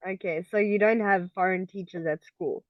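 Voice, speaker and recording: A woman's voice: medium pitch, moderate pace, dry recording, dull audio. A woman tutoring English online, patiently checking her student's understanding with frequent okays.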